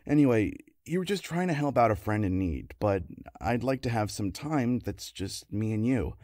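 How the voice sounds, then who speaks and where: A man playing a patient, affectionate partner with dry, gentle wit in a naturalistic comedy audio drama. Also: on the low side, lively intonation, normal speed, no echo, natural voice. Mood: wry affection. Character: patient, affectionate, wry husband or boyfriend.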